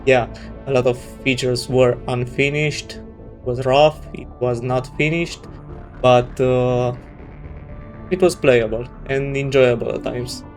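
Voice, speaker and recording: Gaming channel host, male, Romanian native with Romanian accent: casual, hesitant, level delivery over soft game music. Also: medium pitch, fairly even intonation, takes it slowly. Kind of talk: casual let's play commentary.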